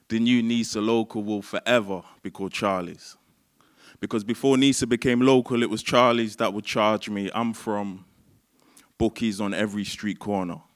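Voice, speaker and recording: A young man reads a spoken word poem on stage, his fairly low voice driving a steady, rhythmic, declamatory beat. He leans into repeated phrases with a performer's weight. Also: smooth. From Manchester, England. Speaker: performance poet. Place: stage at a live event.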